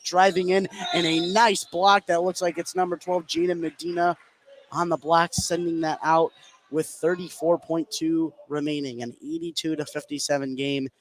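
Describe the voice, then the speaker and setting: Higher-voiced male basketball announcer, noisy background. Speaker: play-by-play announcer. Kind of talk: live basketball play-by-play commentary. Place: indoor sports gym. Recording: clear crowd and gym ambience noise.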